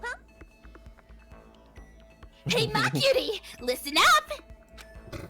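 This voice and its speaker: A woman's voice: very high voice, very animated, very slow, heavily acted. A woman voicing a manic, cackling teenage serial killer girl with mocking, theatrical delivery in an amateur visual novel dub. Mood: excited glee. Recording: loud game music behind the voice.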